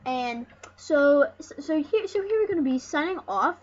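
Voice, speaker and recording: An excitable, rambling host giving casual, jokey commentary over a video game. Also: sits high, normal speed. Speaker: host of a gaming channel. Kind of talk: casual let's play commentary. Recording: dull lo-fi mic with loud noise.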